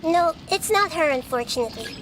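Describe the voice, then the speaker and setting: A woman playing a small, childlike deity of wisdom in a video game, speaking in a measured, articulate, gently assured way. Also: high-pitched, animated, slight background noise, low-quality recording. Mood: calm, knowing.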